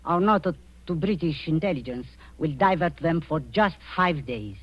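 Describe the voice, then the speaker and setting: A woman's voice: low-pitched, animated, moderate pace. An actress playing a ruthless, imperious middle-aged woman spy posing as a kindly aunt, in theatrical 1940s radio style. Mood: coldly commanding. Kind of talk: 1940s radio suspense acting. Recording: muffled 1940s radio recording.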